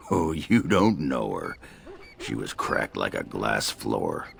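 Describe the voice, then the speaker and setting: An actor playing a crude, blustering, drunken old dwarf warrior in a video game, with a gravelly voice. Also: male voice, a bass voice, huge pitch swings, slow pace, a little hiss or hum, full character voice. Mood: crudely scornful. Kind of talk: video game character acting.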